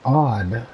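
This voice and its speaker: A man's voice: mid-range voice, creaky. A man streaming a video game, commenting casually as he plays. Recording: dull muffled sound, faint game music.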